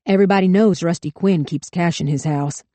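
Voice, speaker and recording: A woman's voice: lowish voice, brisk, dark tone, no room sound, lightly acted. Woman doing a snarling gunman. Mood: urgent. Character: violent rural male gunman, snarling.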